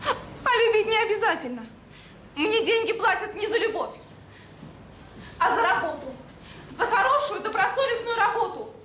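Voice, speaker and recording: A callous, defiant woman who works with children. Her voice sits extremely high, and she argues with sarcasm and scorn. It is a roomy radio-drama recording with a little noise. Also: expressive, normal speed, long pauses, fairly bright tone. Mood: scornful. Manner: argumentative, sarcastic. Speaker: actor in an audio drama. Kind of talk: Soviet radio drama acting.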